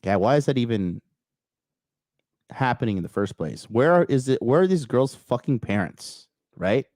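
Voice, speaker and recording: A man hosting a livestream, reading an article aloud and answering the chat in a blunt, crude way, with a raspy, slightly nasal voice. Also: mid-range voice, lively intonation, normal speed, a little mellow, no echo, clean studio sound. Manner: blunt, crude, talks back to live chat. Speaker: podcast host.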